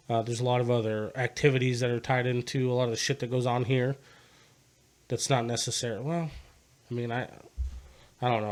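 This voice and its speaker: Male podcast host, mid-pitched, loose and profane, casual conversational delivery. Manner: laid-back, swears constantly, laughs.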